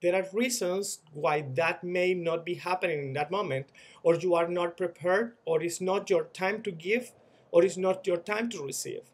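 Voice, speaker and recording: Venezuelan man, 30s, fairly high voice; reflective video message, earnest, restarts often. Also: normal speed. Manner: earnest, reflective, with frequent repeated words and restarts. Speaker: former student-organization leader sharing experience.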